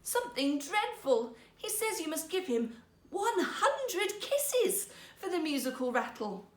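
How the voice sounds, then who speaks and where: A woman's voice: very high voice, sing-song, slow pace, roomy sound, pitched above the performer's own voice, heavily acted. A woman voicing a timid, flustered young maid of honour in a storybook, hesitant and scandalised.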